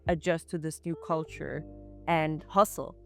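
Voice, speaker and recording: A woman's voice: deep voice, steady tone, unhurried, gravelly, soft background music. A woman in her twenties from Norway, talking casually about her dance life in a podcast conversation. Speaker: co-host and guest, movement artist.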